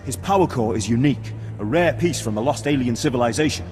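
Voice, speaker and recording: A man's voice: mid-range voice, lively intonation, quick pace, close to an everyday voice. Haughty, swooping male Imperial villain played by an actor. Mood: bitter, cold. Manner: pompous, imperious, declamatory. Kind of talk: video game voice acting. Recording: reverberant hall with loud game music.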